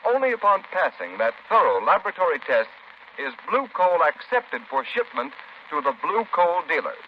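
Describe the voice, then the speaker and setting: A dull, faintly noisy vintage radio recording. A man announces a scripted commercial in formal 1930s fashion, with very animated, emphatic intonation. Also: high-pitched, moderate pace.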